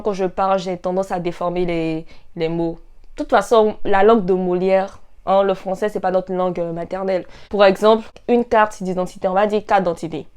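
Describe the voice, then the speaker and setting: A young woman with an Ivorian French accent, chatting casually and jokily to camera in a vlog. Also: medium pitch. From Côte d'Ivoire. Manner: lively, joking, animated. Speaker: YouTuber.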